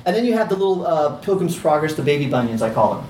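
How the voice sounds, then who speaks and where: A man's voice: sing-song, fast, darkish tone, a little hiss or hum. Man lecturing in a roomy classroom. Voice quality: squeaky. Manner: chatty, many fillers and restarts. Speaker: teacher. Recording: roomy, distant, faint ambience.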